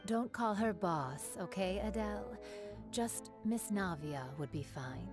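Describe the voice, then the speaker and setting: Woman doing a polite soft-spoken mom, middle pitch, game music faint. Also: expressive, a little slow, close to an everyday voice. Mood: grateful. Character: grateful widowed mother, gentle and polite.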